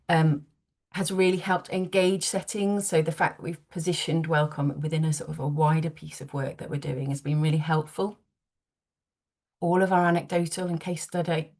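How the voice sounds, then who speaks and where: Low-voiced woman presenting a webinar. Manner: earnest, with steady ums. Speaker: early years advisory teacher presenting. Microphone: video call.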